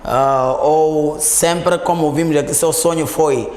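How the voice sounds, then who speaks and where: A man with a Mozambican accent hosting an interview, asking questions in a halting, conversational way and rephrasing as he goes. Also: on the high side, steady tone, darkish tone, a little hiss or hum. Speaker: interviewer, host. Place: echoey studio. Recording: echoey, long reverberant room sound.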